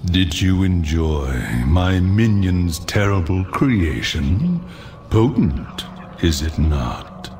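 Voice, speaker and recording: An actor playing a sinister male demon dreadlord in a video game, gloating and theatrical with drawn-out menace. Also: sits low, expressive, background noise. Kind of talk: video game villain acting.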